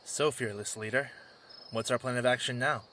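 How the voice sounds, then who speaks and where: A man's voice: mid-range voice, fairly even intonation, moderate pace, pushed and bright, slight background noise, natural voice. A man playing a cocky wolf drifter, a former warrior, in an audio drama, with a sarcastic, casual delivery. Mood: curious.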